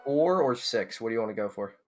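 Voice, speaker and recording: A man of middle pitch talks through quiz answers with his team, hedging and guessing aloud. His speech is loose and hesitant, with soft music faintly behind. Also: lively intonation, fairly quick. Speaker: quiz contestant. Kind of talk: casual team discussion.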